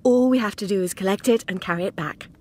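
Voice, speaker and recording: A woman playing a hospitable, placid female rabbit with a husky voice in a radio drama. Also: medium pitch, fast talker, a little mellow, natural voice. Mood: welcoming, placid. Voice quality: husky, breathy. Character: serene, welcoming female rabbit, evasively placid.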